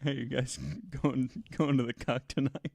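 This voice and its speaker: A man's voice: medium pitch, flat delivery, unhurried, dark tone, no echo. A man co-hosting a podcast in casual banter, joking and rambling through hesitant asides. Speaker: podcast co-host.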